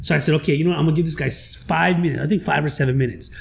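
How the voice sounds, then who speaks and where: A man with a Hawaiian Pidgin-tinged accent, a record store owner telling stories in a slangy, animated way during a casual podcast chat. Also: fairly high pitch, very fast, vocal fry.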